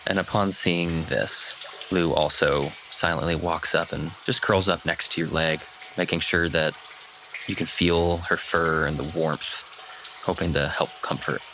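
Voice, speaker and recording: A man's voice: deep voice, steady tone, a little slow, background noise. A man improvising story narration as game master in a tabletop roleplay game.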